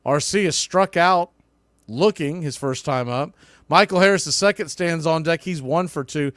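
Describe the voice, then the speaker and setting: Higher-pitched man calling live baseball play-by-play. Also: unhurried, no room sound, clean studio sound. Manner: clipped play-by-play phrasing, bursts of excitement on hit balls. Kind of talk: live sports radio commentary.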